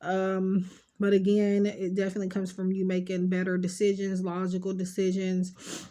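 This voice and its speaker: A woman's voice: mid-range voice, completely flat delivery, normal speed, warm tone. A woman with a stuffed-up nose giving a casual, rambling tarot card reading, talking directly and reassuringly to her viewers with lots of fillers. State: stuffed up, sniffling.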